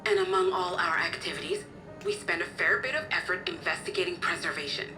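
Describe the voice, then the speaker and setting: A poised resistance officer from a video game, acted by a woman, with a lowish voice. She lays things out in formal, measured sentences. Music is plainly audible behind her. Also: expressive, lightly acted, poor audio. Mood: composed, informative. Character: composed, articulate female resistance officer. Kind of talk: video game cutscene acting.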